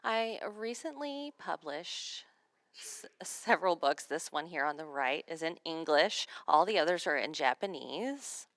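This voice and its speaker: Low-pitched woman voicing for a signer at a seminar, steady phrase by phrase, dry close sound. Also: a little slow, no room sound. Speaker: sign language interpreter voicing a signed lecture. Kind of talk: spoken interpretation of a seminar talk.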